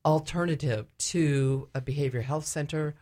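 A woman speaking as a ministry director and guest in a conversational radio interview, explaining things a little haltingly. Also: sits low, fairly even intonation, warm tone.

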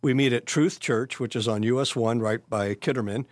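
A pastor in his early sixties talks through his thoughts slowly, with pauses and restarts. His voice comes across dry and close. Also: medium pitch, no room sound. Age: 60s. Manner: hesitant, many fillers and restarts. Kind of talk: casual interview conversation.

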